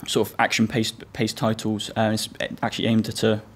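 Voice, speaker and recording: Man, team leader; lowish, flat, hesitant and rambling. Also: brisk, breathy edge. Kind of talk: casual interview answers.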